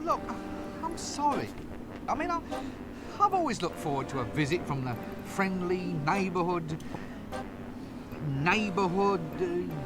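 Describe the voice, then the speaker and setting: An actor playing a bumbling, cowardly, wisecracking wizard in an adventure game, with a British accent and exasperated, sarcastic delivery. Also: male voice, high voice, big pitch swings, unhurried, long pauses, very noisy. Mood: flustered. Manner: wry, sarcastic asides. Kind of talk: point-and-click adventure game voice acting. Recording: game music behind the voice.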